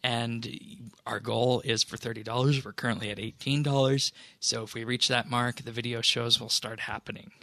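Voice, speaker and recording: A man hosting a podcast, reading out announcements and updates in a measured, businesslike way. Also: medium pitch, level tone, pushed and bright, dry recording. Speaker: podcast host.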